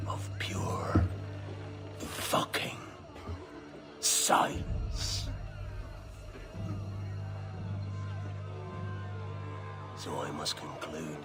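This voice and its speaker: An actor playing a menacing, theatrical pirate captain in a video game, with a gravelly voice and grandiloquent, dramatic delivery. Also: male voice, very deep voice, exaggerated voice. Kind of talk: video game cutscene acting.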